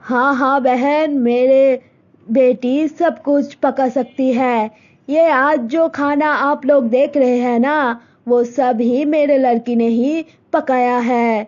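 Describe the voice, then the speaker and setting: A woman's voice: high voice, fairly even intonation, slow, a little mellow, dull audio. A woman voicing a middle-aged village mother, firm with her daughter and placating to guests.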